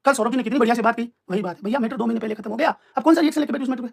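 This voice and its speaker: Guy on a live stream talking super fast, arguing and clarifying stuff, repeats himself a lot. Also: very high voice, vocal fry, darkish tone. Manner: argumentative, emphatic, repetitive.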